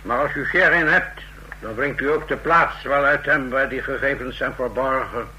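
An actor in a Dutch radio play voicing a sober, sceptical army general who speaks tersely and cautiously. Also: male voice, medium pitch, moderate pace, bright, forceful tone, low-quality recording. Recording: faint hum, old radio recording.

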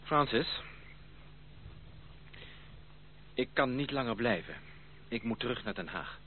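Acteur als vasthoudende minnaar, levendige stem. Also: male voice, mid-range voice, animated, normal speed, natural voice. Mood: coaxing. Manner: coaxing, earnest. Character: persistent, affectionate male cousin courting a stubborn woman. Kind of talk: period radio drama acting. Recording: muffled old radio play, faint hiss.

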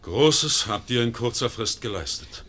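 An actor playing a stern confederate leader in a classic German radio play, speaking in declamatory verse that rises to fervent oratory. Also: male voice, medium pitch, animated, unhurried, bright, forceful tone. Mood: measured. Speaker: Swiss confederate leader addressing the assembly.

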